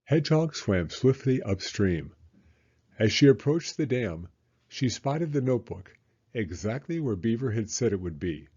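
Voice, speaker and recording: A man narrating a children's story as an expressive read-aloud. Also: on the low side, a little slow, breathy edge. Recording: slightly dull, muffled audio.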